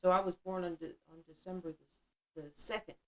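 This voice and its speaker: Woman, 50s, livestream host; chatty, blunt, self-mocking, quick to laugh. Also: fairly low pitch, steady tone, darkish tone, poor audio. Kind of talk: casual livestream chat reading the chat.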